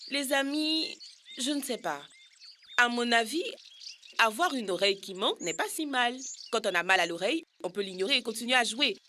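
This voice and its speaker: Casting: actress as cocky young cartoon character, high, bright voice, over noticeable background noise. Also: female voice, expressive, moderate pace. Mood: opinionated. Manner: cocky, playful. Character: cheeky, food-loving, boastful young cartoon character. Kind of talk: children's cartoon dubbing.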